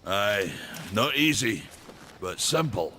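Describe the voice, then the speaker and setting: An actor playing a weary old male warrior who guards a gate, gruff, rustic and wry, with game music behind him. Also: fairly low pitch, sing-song, slow, bright, forceful tone, a little hiss or hum, full character voice. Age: older.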